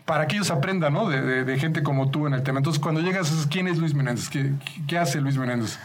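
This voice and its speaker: A man's voice: on the high side, quick pace. A man co-hosting a sales podcast, asking the guest questions in a chatty way full of fillers. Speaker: podcast co-host. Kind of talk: podcast interview.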